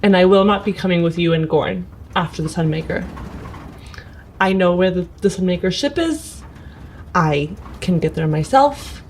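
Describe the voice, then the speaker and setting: A woman improvising in character in a tabletop game, firm and deliberate, sometimes halting. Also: lowish voice, darkish tone, slight background noise, poor audio. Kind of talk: improvised in-character role-play. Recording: music playing under the voice.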